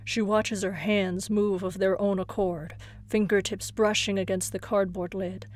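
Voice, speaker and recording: A woman's voice: medium pitch, level tone, unhurried, a little mellow. A woman narrator reading a suspenseful scripted horror story.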